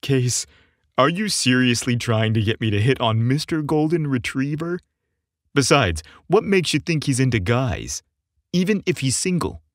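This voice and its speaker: A man's voice: mid-range voice, animated, normal speed, no room sound, studio quality, lightly acted. A man voicing a friendly but hesitant young man in an audiobook, casual and wry. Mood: skeptical. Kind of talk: audiobook character dialogue.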